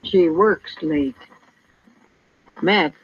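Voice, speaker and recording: Worldly frontier informant, husky, dry delivery, guarded, radio western, dull vintage recording. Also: female voice, sits low, extremely slow, heavily acted. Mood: wry. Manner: dry, matter-of-fact, guarded. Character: worldly, tough-minded frontier saloon woman, an informant. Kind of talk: old-time radio western acting.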